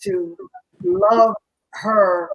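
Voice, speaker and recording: A middle-aged woman guest, a pastor's wife, speaking in a halting way with many pauses and fillers. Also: medium pitch, steady tone, rough recording. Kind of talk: casual candid conversation.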